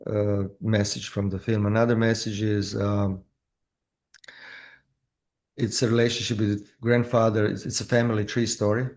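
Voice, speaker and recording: Halting low-voiced man from Croatia. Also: darkish tone. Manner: halting, with frequent restarts and fillers. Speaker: filmmaker guest. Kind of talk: casual interview.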